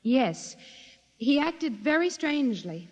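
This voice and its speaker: An actress playing an earnest secretary giving emphatic testimony as a witness in a 1950s radio drama. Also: female voice, high-pitched, big pitch swings, slow pace, exaggerated voice. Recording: echoing hall, old radio recording.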